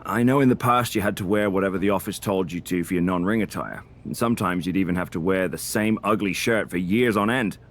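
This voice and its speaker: A man's voice: deep voice, brisk, hardly any pauses, a little hiss or hum, lightly acted. A man voicing a male wrestler in a backstage chat who is friendly but needling. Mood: sly. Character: sly male wrestler scheming over a draft pick. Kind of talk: backstage conversation.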